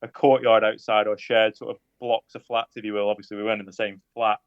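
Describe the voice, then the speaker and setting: A Lancashire man in his thirties with a lowish voice, talking in a halting, careful way full of ums and dry asides. The sound is dead dry, with a dull, lo-fi edge. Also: flat delivery, smooth, no echo. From Lancashire, England. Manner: hesitant and measured, with frequent fillers and dry humour. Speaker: guest, police officer. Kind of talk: conversational podcast interview.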